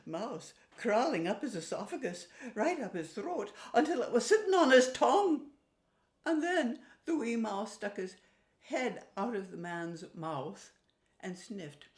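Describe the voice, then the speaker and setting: A woman telling a folk tale in a theatrical, folksy way with a put-on Irish accent and dramatic pauses. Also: fairly low pitch, a bit bright. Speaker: storyteller. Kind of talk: oral folk storytelling to viewers. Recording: roomy, echoing sound.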